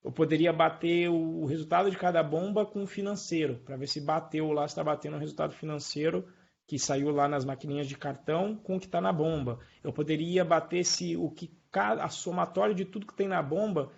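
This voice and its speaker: A Brazilian man gives an informal live programming lesson in a fairly high, gravelly voice. He explains in a colloquial way and often checks that his listeners follow. The recording sounds dull and lo-fi. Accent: Brazilian Portuguese. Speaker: programming teacher. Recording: dull lo-fi online stream.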